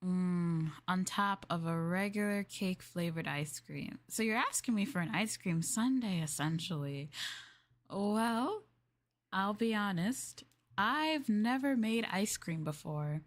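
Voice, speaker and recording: A woman streamer chatting casually in a maid roleplay, rambling and laughing softly as she addresses her master. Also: medium pitch, expressive, takes it slowly. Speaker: VTuber streamer.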